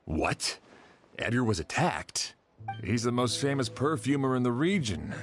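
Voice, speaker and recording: A man's voice: medium pitch, sing-song, unhurried. A man playing an earnest, authoritative sheriff in a video game, speaking in a firm, concerned way. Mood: alarmed, resolute. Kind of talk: scripted video game voice acting. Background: music.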